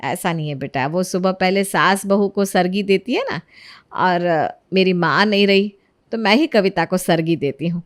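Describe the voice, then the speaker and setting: A woman's voice: lowish voice, moderate pace, lightly acted. A woman voicing a kindly middle-aged mother-in-law, patient and soothing, in an acted storybook tale. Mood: indulgent. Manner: indulgent, soothing. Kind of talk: acted Hindi storybook narration.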